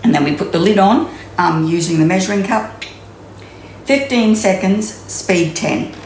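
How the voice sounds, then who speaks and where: A woman's voice: mid-range voice, measured pace, noisy background. A woman in her sixties with an Australian accent, chatting through a home cooking demonstration with many ums and restarts, recorded in her kitchen on a camera mic a few metres away. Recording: echoey kitchen room.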